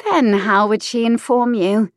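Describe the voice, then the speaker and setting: A woman voicing an impudent young mistress in an audiobook, with a high, airy, pert voice. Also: a little slow, no room sound, clean studio sound, lightly acted. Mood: curious. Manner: pert, teasing.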